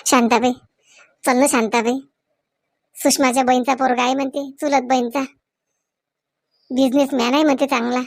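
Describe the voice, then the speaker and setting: A woman's voice: high voice, flat delivery, moderate pace, long pauses, no room sound. A woman voicing an apologetic, anxious village mother in a comedy cartoon, beseeching and repetitive, with a rural Maharashtrian accent. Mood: coaxing. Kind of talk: Marathi comedy cartoon dialogue.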